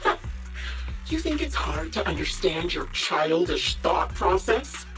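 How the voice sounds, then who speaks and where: A woman's voice: fairly low pitch, very animated, slow pace, distant mic, over music. An actress voicing a cruel, mocking female criminal villain in a video game, taunting theatrically. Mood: mocking challenge.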